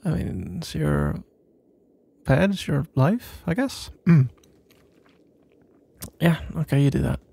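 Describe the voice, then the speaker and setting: A man playing a shy, sleepy, smitten young best friend in an intimate ASMR roleplay, stammering and awkward, with a gravelly, breathy voice. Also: a little high, sing-song, dark tone, full character voice. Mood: flustered, embarrassed. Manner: halting, stammering, awkward, mumbling.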